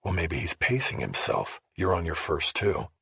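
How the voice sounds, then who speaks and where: A man's voice: deep voice, big pitch swings, normal speed, vocal fry, sharp tone, breathy edge, dry recording, clean studio sound, heavily acted. A man voicing a suspicious investigative journalist in a thriller audiobook, blunt and questioning. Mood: wary, quiet.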